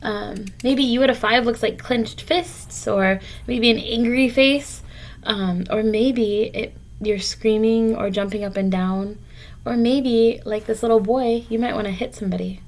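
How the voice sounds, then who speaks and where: High-pitched woman teacher reading to children. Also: unhurried. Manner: simple, encouraging explanations addressed directly to children. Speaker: teacher reading a picture book aloud to children. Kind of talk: read-aloud lesson for young children, with explanatory asides.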